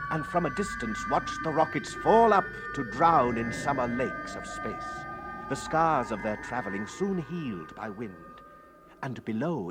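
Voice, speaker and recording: An actor playing an eager, wonder-struck young spaceman who narrates his voyage in lyrical 1960s radio drama style, on a hissy vintage recording. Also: mid-range voice. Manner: lyrical, theatrical, deliberate phrasing.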